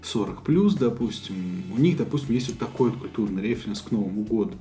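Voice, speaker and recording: A man from Russia co-hosting a podcast, talking in a thoughtful, halting way with many fillers. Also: fairly low pitch, animated, mellow, faint music behind. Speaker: podcast co-host. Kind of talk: casual conversation.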